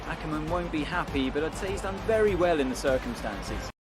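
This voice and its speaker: An actor playing an analytical motor racing co-commentator in a video game, conversational and opinionated. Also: male voice, on the high side, animated, a little fast, music in the background, lightly acted. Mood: matter-of-fact.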